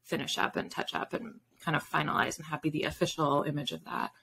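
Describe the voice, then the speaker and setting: A woman's voice: low-pitched, fairly even intonation, fairly quick, gravelly, fairly bright tone, slight background noise. A woman in her thirties chatting casually as an interview guest, explaining things in a rambling way with asides. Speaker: guest author. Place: home room with bookshelves, indoors. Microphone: video call.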